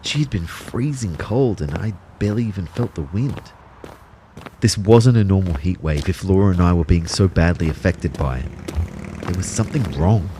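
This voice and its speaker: A man's voice: sits low, moderate pace, dark tone, a little hiss or hum, natural voice. An Australian actor playing Kyle, an anxious, self-doubting man telling his own story in an audio drama. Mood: troubled. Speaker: actor narrating in character. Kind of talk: first-person audio drama narration.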